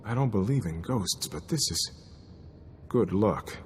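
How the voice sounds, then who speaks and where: An actor playing a gruff, weary and practical military commander in a video game, brisk and blunt. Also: male voice, fairly low pitch, expressive, long reverb tail, slight background noise. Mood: anxious. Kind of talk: video game cutscene acting.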